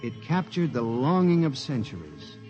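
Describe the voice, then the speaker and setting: An actor playing a frantic, tormented clerk in an intense, melodramatic 1950s radio drama style. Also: male voice, mid-range voice, sing-song, normal speed, over music, lightly acted. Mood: grim, haunted. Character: frantic, tormented male clerk narrating his nightmare. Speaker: lead actor and narrator. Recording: muffled old 1950s radio recording.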